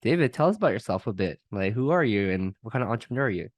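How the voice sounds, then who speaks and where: A man's voice: fairly low pitch, sing-song, racing, no echo. A man hosting a podcast, asking questions casually with a lot of "like" fillers. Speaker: podcast host.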